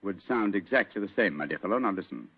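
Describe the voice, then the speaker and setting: An actor playing a superior, brilliant English gentleman detective with a British accent and an assured, condescending manner in an old radio drama. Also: deep voice, expressive, fast talker, slightly rough, full character voice. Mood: assured, explaining. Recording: tinny narrow-band old radio recording.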